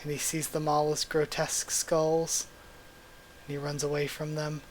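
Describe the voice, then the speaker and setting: Young guy on a headset mic, voice kinda worn out and cracking, a bit high, chatty and hyped. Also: moderate pace, slight background noise, rough recording. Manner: chatty, excitable, thinks out loud. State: hoarse, voice cracking. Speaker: channel host reading manga aloud. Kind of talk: casual readthrough with commentary. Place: small room at home. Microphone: gaming headset mic.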